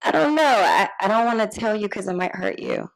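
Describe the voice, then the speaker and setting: Lively, creaky-voiced young woman character read by an actress. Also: medium pitch, brisk, rough recording. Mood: coy, hesitant. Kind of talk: live screenplay table read, acting.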